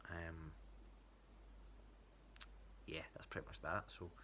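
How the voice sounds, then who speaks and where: Scottish male, low voice, informal and halting check-in style, noisy recording. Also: little pitch movement, bright, forceful tone. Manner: hesitant, rambling. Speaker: athlete giving a training check-in to a coach. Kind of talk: casual spoken update.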